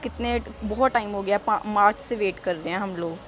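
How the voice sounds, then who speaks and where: A woman's voice: mid-range voice, moderate pace. A North Indian woman making a phone enquiry as a caller, politely and persistently pleading for help. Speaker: caller asking about an exam. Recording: phone call with faint noise.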